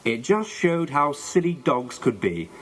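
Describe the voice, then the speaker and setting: A man voicing a bossy, quick-tempered young girl in a children's storybook, scolding and exasperated. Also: on the high side, very animated. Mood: scornful. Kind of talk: children's storybook character reading.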